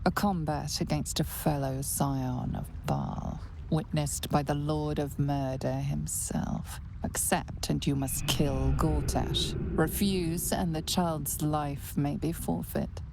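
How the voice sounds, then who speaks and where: A woman playing a grave, composed companion in a video game, speaking in a measured, solemn way that slips toward a whisper. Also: low voice, fairly even intonation, vocal fry, noisy background, full character voice. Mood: solemn warning. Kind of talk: dramatic video game acting.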